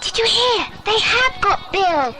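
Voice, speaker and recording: A woman's voice: very high-pitched, big pitch swings, slow pace, over loud music, full character voice. A woman playing an anxious, caring young girl in a children's audio adventure drama. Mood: concerned. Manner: earnest, worried questioning.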